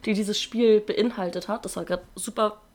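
A young woman co-hosting a podcast in casual chat, her voice hoarse from a cold, talking freely and laughing easily. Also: mid-range voice, fairly even intonation, moderate pace, noisy background. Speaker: podcast co-host.

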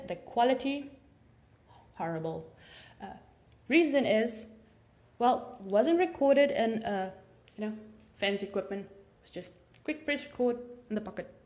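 A woman's voice: fairly high pitch, expressive, a little slow, long pauses, darkish tone. Chatty South African woman, echoey room. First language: Afrikaans. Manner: chatty, informal, rambling asides. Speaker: podcast host. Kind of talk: casual true crime podcast narration. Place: home room with papers on desk.

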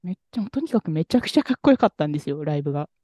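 A man co-hosting a podcast, telling a story in a relaxed, rambling way with many fillers. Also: fairly high pitch, rapid-fire, no echo, clean studio sound. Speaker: podcast co-host. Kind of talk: casual chat about music.

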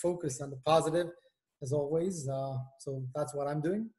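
Israeli guy in his 40s on a video call, lots of uh and restarts, voice a bit on the high side. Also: steady tone, normal speed, darkish tone. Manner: hesitant, many fillers and restarts. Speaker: guest, engineering manager. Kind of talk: casual video-call interview.

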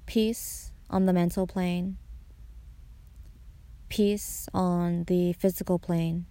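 Slow, very mellow woman guiding meditation. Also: fairly low pitch, little pitch movement, dry recording. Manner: soothing, pausing between short phrases. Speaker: meditation guide, podcast host. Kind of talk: guided meditation narration.

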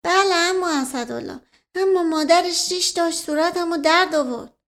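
Woman doing a high, childlike innocent young bride. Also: a bit bright. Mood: childlike, earnest. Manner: simple childlike delivery.

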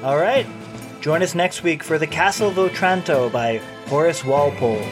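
A man's voice: fairly high pitch, normal speed, over music. A man co-hosting a book podcast, talking loosely with many fillers and steering the episode to its close. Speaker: podcast co-host. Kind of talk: casual book chat.

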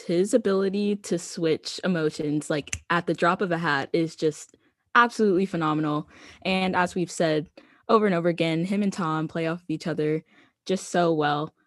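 A young woman co-hosting a podcast, chatting in a relaxed, conversational way about a TV episode. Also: lowish voice, brisk, dry recording, clean studio sound. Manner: relaxed, conversational, many fillers. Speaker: podcast co-host. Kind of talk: casual chat recapping a TV episode.

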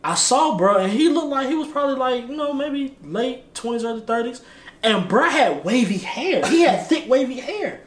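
A man's voice: extremely high pitch, moderate pace. An American man telling comic stories in casual, slangy banter. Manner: animated, slangy. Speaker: comedic storyteller. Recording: echoey room with clear background noise.

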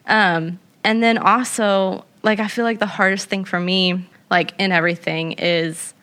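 A woman's voice: medium pitch, fairly even intonation, measured pace, sharp tone, clean tone. A young woman talking casually and reflectively, rambling with many fillers as she shares her experience. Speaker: guest. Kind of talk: casual conversation.

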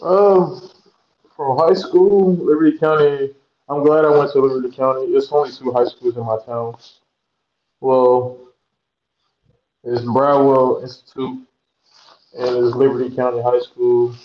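Laid-back man, flat voice. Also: medium pitch, a little slow, pauses often, echoey room, dull audio. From Georgia, USA. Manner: laid-back, rambling with many fillers and restarts. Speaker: guest, pro basketball player. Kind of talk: casual interview chat.

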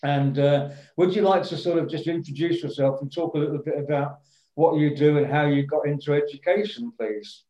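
Podcast host with a mellow voice in an echoing room. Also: male voice, on the high side, fairly even intonation, fairly quick. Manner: hesitant, many fillers and restarts, friendly. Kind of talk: casual interview.

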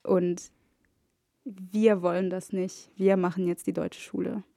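A woman's voice: fairly low pitch, level tone, slow pace, creaky, mellow, no echo, studio quality. A woman from Egypt, a native Arabic speaker, chatting as a podcast guest and often slipping English words into her talk. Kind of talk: casual chat.

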